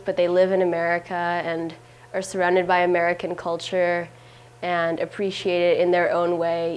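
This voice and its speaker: Measured young woman, low-pitched. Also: darkish tone, low-quality recording. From California. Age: young adult. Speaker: student interviewee. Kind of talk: unscripted reflective answers.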